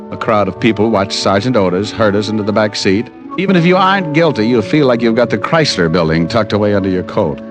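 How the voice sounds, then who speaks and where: An actor playing a wisecracking radio private eye. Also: male voice, on the low side, natural voice. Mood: cocky. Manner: wry hard-boiled narration full of wisecracks. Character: wisecracking tough male private eye, dazed. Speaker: private detective narrator. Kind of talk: 1940s radio drama acting. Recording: old radio broadcast with music.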